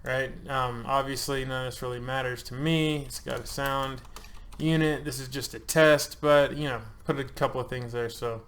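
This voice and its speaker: A man's voice: mid-range voice, steady tone, moderate pace, few pauses, a bit bright. Chatty man in his thirties walking through a form. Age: 30s. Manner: loose, chatty, joking, with frequent ums and uhs. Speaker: channel host. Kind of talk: casual screen-share walkthrough.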